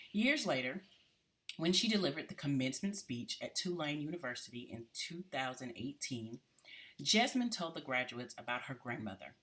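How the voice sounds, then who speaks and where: An adult reads a biographical piece aloud. The voice sits at a medium pitch, and the delivery is deliberate and measured, like someone carefully following a printed text. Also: a bit bright.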